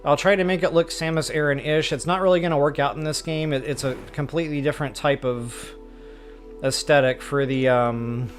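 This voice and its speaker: A man's voice: on the high side, fairly even intonation, fairly quick, soft background music. A man hosting a game stream, chatting casually to viewers in an informal, self-deprecating, rambling way. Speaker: host of a video game walkthrough stream.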